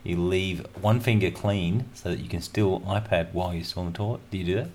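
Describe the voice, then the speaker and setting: A man with a very low, deep voice, rambling and joking as he hosts a casual radio show. He fills his talk with "uh" and asides. Faint noise hums under the recording. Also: fairly quick, darkish tone. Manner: chatty, jokey and rambling, with many fillers. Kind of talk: casual banter between music tracks.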